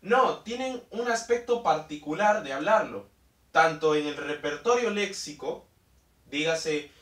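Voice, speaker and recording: A man's voice: on the high side, expressive, a little slow, a bit bright, distant mic. A young man teaching a whiteboard lesson, explaining step by step, repeating key terms and often checking in with "ok?". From Peru. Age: young adult. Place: indoor room in front of a large whiteboard. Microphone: camera mic from a distance. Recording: roomy echo, voice from off-mic.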